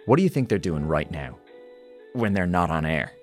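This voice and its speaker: An actor playing a naive, self-doubting condemned criminal in a naturalistic radio drama, hesitant, rambling and earnest. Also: male voice, sits low, lively intonation, normal speed, soft background music. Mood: musing, curious.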